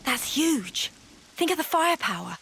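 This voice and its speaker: A woman's voice: high voice, big pitch swings, moderate pace, creaky, a bit bright, heavily acted, rough recording. A British woman playing a blunt, feisty young female fighter in a video game, speaking casually. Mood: awed. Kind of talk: video game cutscene acting.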